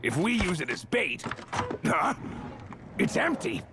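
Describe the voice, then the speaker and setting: A man's voice: high-pitched, sing-song, a little slow. A man playing an exasperated, vengeful police investigator in a dramatic game trailer, with emphatic delivery. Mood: agitated, angry. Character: frustrated, vengeful police investigator hunting a phantom thief. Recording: loud music over the voice.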